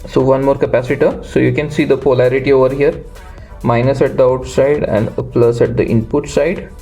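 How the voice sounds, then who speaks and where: A man presenting an unscripted, step-by-step electronics tutorial, halting and pausing in the middle of phrases. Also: medium pitch, steady tone, warm tone, faint music behind.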